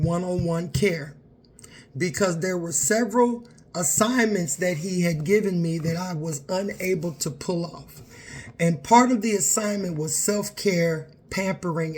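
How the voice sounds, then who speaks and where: A woman's voice: fairly low pitch, a little mellow. A woman, talking candidly and earnestly to her viewers in a personal vlog. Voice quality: raspy. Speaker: vlogger.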